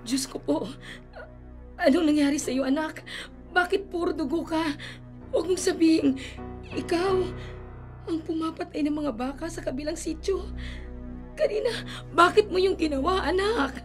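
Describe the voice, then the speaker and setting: A woman's voice: very high voice, moderate pace, long pauses, faint music behind. A middle-aged woman playing a desperate mother who hides her undead daughter, speaking in a tremulous, anxious, often whispered voice in a radio drama. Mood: terrified.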